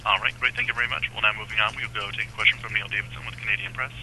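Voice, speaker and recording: Very fast, flat male call operator. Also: lowish voice. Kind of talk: scripted call announcements. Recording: harsh phone line with faint noise.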